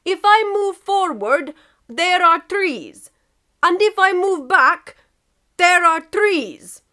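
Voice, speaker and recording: A woman voicing a placid, innocent, tipsy man who personifies Russia, speaking slowly and deliberately with an imitation Russian accent in a comic story reading. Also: extremely high pitch, very animated, bright, forceful tone, voice pushed up, exaggerated voice. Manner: slow, deliberate, drawn-out speech.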